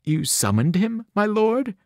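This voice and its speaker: A man's voice: sits high, big pitch swings, unhurried, dry recording, clean studio sound, voice pushed up, full character voice. Man voicing a stammering, fawning invisible servant. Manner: anxious, stammering, groveling.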